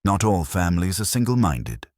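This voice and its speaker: A man's voice: sits low, big pitch swings, normal speed, a little mellow, dry recording. A man voicing a shrewd, dry-tongued woman of a station buffet in a mystery story. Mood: dry, knowing.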